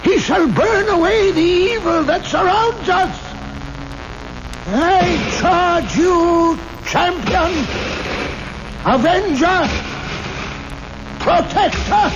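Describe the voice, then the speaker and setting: A weary, fervent old grandfather from a radio drama. His high voice swings widely as he speaks in halting, dramatic phrases. The old recording is muffled. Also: animated, noisy background, exaggerated voice. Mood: fervent incantation. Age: older. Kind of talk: 1970s radio drama acting.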